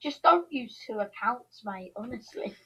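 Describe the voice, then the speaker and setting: A woman's voice: on the high side, animated, a little slow, crowd noise, low-quality recording. A woman chatting casually as a co-commentator on an online show. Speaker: co-commentator and contestant. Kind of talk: casual chat.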